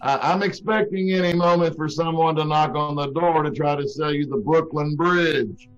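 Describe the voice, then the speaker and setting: A man's voice: on the high side, fairly even intonation, moderate pace, lightly acted. A man playing an indignant, exasperated vacuum cleaner salesman in an old-time radio comedy, spluttering his protests. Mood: exasperated, sarcastic. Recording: dull lo-fi video call audio.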